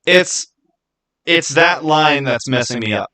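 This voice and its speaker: A man's voice: a little high, expressive, slow pace, pushed and bright. A man hosting a casual livestream, rambling and thinking aloud as he answers viewers by name. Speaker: livestream host.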